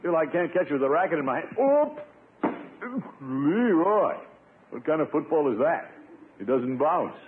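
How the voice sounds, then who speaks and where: Pompous middle-aged American blusterer, swooping delivery, self-satisfied, vintage radio sitcom, narrow tinny sound. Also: male voice, fairly high pitch, very animated, measured pace, long pauses, noisy background. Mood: fussy, exasperated. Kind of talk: 1950s radio situation comedy acting.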